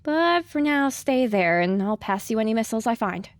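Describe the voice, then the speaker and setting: A woman voicing a small, feisty young mare heroine, bossy and sarcastic, with a brisk, assertive delivery. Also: a little high, animated, no room sound, clean studio sound, lightly acted. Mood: brisk, matter-of-fact.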